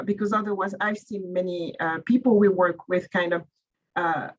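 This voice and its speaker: A woman's voice: lowish voice, highs cut off. A woman panelist in a webinar discussion giving supportive advice, with many fillers.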